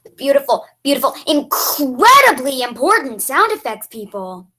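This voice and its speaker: A woman plays a slick hepcat bandleader on old-time radio. The voice is high and showy. The patter carries a pointed, aggrieved edge. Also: very animated, normal speed, bright, forceful tone, exaggerated voice. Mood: pointedly aggrieved. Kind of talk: 1940s radio broadcast acting.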